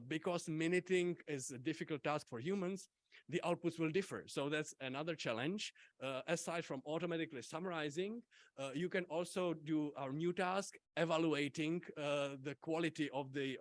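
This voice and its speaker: A man whose first language is Czech gives an academic conference talk, halting often with fillers and restarts. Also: fairly high pitch. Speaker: invited conference speaker.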